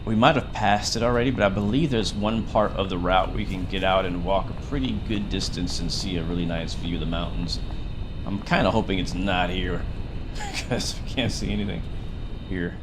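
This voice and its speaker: A young man chatting excitedly and joking while live-streaming a game. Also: low voice, normal speed. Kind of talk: casual live-stream commentary. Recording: game train noise underneath.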